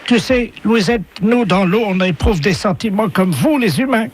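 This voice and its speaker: High pitched sad lovesick dolphin, earnest n hesitant, prank phone call, bit of noise. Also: male voice, expressive. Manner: slow, plaintive, hesitant declarations. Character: lovesick male dolphin, plaintive, earnest and formal.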